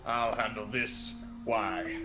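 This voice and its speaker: Radio drama villain male actor: menacing criminal nephew, slow and forceful, quick to anger, faint music underneath. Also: on the low side, steady tone. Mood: cold, scheming. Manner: slow, deliberate, sinister. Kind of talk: old-time radio play acting.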